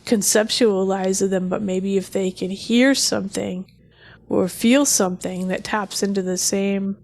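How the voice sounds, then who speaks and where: A woman's voice: medium pitch, steady tone, measured pace, gravelly, a little mellow. A woman co-hosting a casual tech discussion, earnest and emphatic, often repeating herself to make a point. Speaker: podcast co-host.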